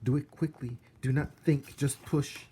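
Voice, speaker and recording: A small, brave storybook mouse voiced by a man, pitched slightly up from a plain male voice. He urges himself on in clipped, determined commands. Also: fairly even intonation, measured pace, dark tone, breathy edge. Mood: urgent, determined. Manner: clipped, urgent self-commands. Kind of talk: children's storybook character voice.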